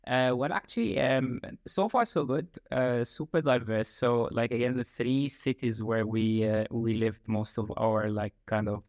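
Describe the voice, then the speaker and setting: A man's voice: mid-range voice, measured pace, few pauses, a little mellow, dry recording. A man from Egypt, a guest talking about his work in casual interview talk, full of fillers and repeated phrases. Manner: frequent fillers, repeated "like, again". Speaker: guest, talent acquisition lead. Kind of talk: conversational interview.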